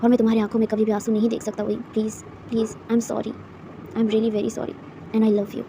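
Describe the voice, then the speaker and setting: A woman voicing a remorseful, tender young man who apologizes in a hushed, pleading voice in a storybook reading. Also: a little high, completely flat delivery, fairly quick, a little hiss or hum, close to an everyday voice.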